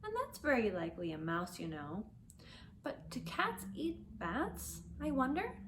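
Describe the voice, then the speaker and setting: A woman's voice: fairly high pitch, huge pitch swings, a little slow, background noise. A woman voicing a curious, chatty little girl who wonders aloud to herself in an earnest way, in a storybook reading. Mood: wistful.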